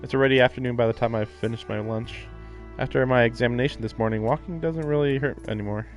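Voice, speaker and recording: A man's voice: mid-range voice, quick pace. Man musing over a game. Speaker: livestreamer playing a visual novel. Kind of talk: casual game commentary and reading aloud. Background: game music.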